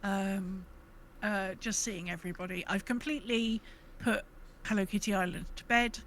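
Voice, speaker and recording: A woman's voice: mid-range voice, steady tone, moderate pace, a bit bright, a little hiss or hum. A woman co-hosting a casual gaming podcast, chatting in an informal, upbeat way. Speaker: podcast co-host.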